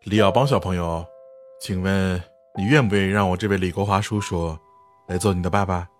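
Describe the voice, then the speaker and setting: A man acting in a radio drama as a kind, gentle father figure, speaking patiently and soothingly. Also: low-pitched, a little slow, long pauses, faint music behind. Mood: tender, earnest.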